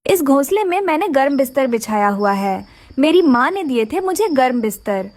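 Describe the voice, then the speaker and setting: A woman's voice: high voice, big pitch swings, moderate pace, a little mellow, no room sound. A woman voicing a caring but firm mother bird in a children's cartoon, speaking in an earnest, motherly way. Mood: mild. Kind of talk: Hindi children's cartoon voice acting.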